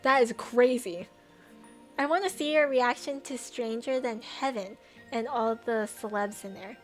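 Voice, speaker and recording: A woman's voice: fairly high pitch, a little slow. Chatty woman streamer, faint music behind. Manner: chatty, laughs often, trails off. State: voice getting tired. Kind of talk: casual chat with viewers.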